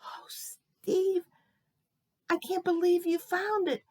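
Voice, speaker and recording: A woman voicing a cranky but soft-hearted old neighbor woman in a children's story, halting and repeating herself when she gets emotional. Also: very high voice, little pitch movement, pitched above the performer's own voice. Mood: tearful, grateful. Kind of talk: children's Bible-lesson storytelling.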